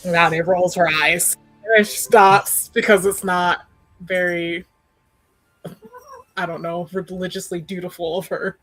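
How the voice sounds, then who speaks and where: A woman's voice: on the low side, level tone, a little slow, long pauses, a bit bright, dry recording, soft background music. A woman casually improvising narration as a player in a tabletop roleplaying game.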